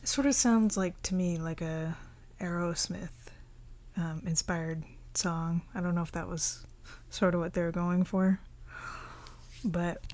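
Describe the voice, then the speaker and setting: Slow, soft-spoken woman doing ASMR. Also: lowish voice. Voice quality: soft, often whispery voice. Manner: relaxed, unhurried, chatty. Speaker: ASMR video creator showing a collection. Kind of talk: ASMR, slow casual show-and-tell.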